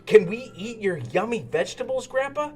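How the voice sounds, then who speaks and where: A man voicing an excitable, eager and cheery little girl pig in a children's storybook reading. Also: very high voice, normal speed, faint music behind, voice pushed up. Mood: eager, pleading.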